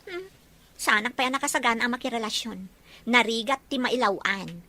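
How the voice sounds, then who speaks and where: A woman's voice: a little high, moderate pace, bright, forceful tone. A woman playing a guarded, modest young working woman in an audio drama. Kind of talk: Ilocano audio drama acting.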